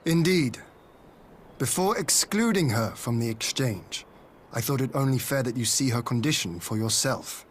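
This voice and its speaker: An actor playing a dignified young lord in a video game, speaking formally and courteously. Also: male voice, mid-range voice, lively intonation, moderate pace, a little hiss or hum, natural voice. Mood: grave. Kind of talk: video game cutscene acting.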